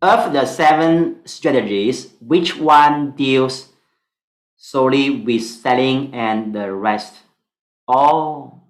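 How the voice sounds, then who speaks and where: A man with a Singaporean accent, whose first language is Mandarin, teaching an online stock analysis class in halting English full of fillers. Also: medium pitch, roomy sound, rough recording.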